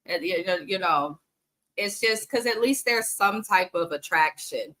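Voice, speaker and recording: A woman's voice: fairly low pitch, animated, measured pace, fairly bright tone, poor audio. A woman hosting a casual, frank reality TV recap, chatting straight to her viewers and sniffly from allergies. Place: home in the Bay Area.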